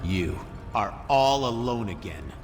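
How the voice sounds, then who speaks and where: A cruel, mocking villain in a game cutscene. His low voice taunts with cold contempt, trailing into long reverb over a soft music bed. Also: lively intonation, takes it slowly. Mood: taunting.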